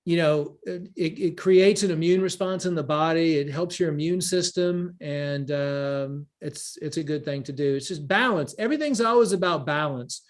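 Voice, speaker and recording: Higher-voiced man giving rambling wellness advice. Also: normal speed, few pauses. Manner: rambling, repeats phrases, lists examples. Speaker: wellness teacher and event leader. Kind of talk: informal spoken teaching, answering questions. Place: live online group call.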